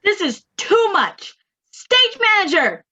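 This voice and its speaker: A woman's voice: very high-pitched, very animated, takes it slowly, sharp tone, exaggerated voice. A young woman playing an exasperated, bossy fairytale character in a comic stage play. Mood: outraged. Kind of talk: comic stage acting over video call. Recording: dull, lo-fi video call audio.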